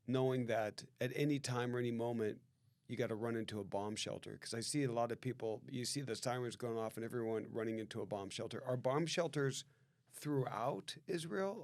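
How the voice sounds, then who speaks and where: A man's voice: medium pitch, a little fast, a little mellow, no echo, studio quality. A man hosting a podcast in a casual, joking way, introducing his guest and asking questions. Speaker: podcast host.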